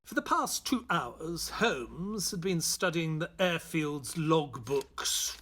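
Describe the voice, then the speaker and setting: An actor playing an earnest, polite English doctor and loyal sidekick in an audio drama, who narrates and gets easily flustered. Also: male voice, a little high, animated, slow pace, bright, forceful tone, a little hiss or hum, heavily acted. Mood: measured storytelling.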